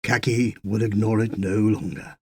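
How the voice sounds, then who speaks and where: A man reading a literary short story as a narrator, in a measured, dramatic storytelling manner. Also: on the low side, steady tone, dark tone, slightly rough, dry recording. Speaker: audiobook narrator.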